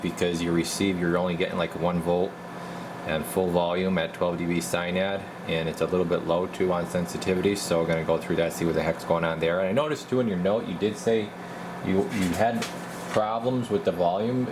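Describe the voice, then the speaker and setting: A man working as a radio repair technician, giving casual, halting bench commentary as he mutters and thinks aloud. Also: low-pitched, background noise. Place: indoor radio repair workbench.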